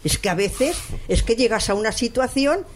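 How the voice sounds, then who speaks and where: A woman's voice: a little high, lively intonation, normal speed, a little hiss or hum, pitched above the performer's own voice, natural voice. A woman voicing an older man who pleads and defends jealousy, in a raised voice. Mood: insistent.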